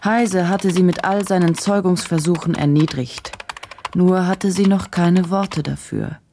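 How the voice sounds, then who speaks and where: An actress playing a probing journalist who narrates a radio drama in a measured, brooding manner. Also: female voice, on the low side, steady tone, mellow, a little hiss or hum. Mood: brooding, intent. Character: probing female journalist, inward and unsettled, reading and narrating.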